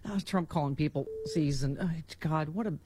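Middle-aged woman, radio host, chatty and sarcastic, quick to laugh. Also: deep voice, noisy background. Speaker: radio talk show host. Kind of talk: casual radio chat with callers.

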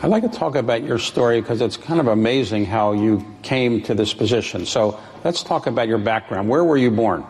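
Deadpan male interviewer, echoing hall. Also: medium pitch, a little fast, hardly any pauses. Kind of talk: live on-stage interview questioning. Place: large indoor event room before an audience.

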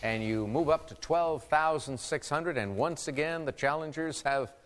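A man's voice: on the high side, moderate pace, a bit bright, in a large hall, slight background noise. A man hosting a quiz show, reading clues and teasing contestants with playful asides. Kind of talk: game show hosting with banter.

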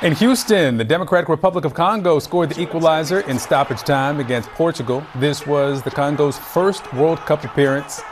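A man hosting a news program, interviewing a guest and then reading sports headlines in a brisk, conversational way. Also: on the high side, slight background noise. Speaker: news anchor.

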